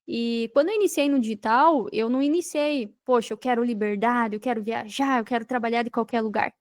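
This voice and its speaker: A woman's voice: on the high side, brisk, dry recording, clean studio sound. A woman with a Brazilian Portuguese accent talking as a podcast guest, telling her story emphatically with repeated phrases and rhetorical questions. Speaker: podcast guest, digital marketing entrepreneur. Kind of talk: conversational interview storytelling.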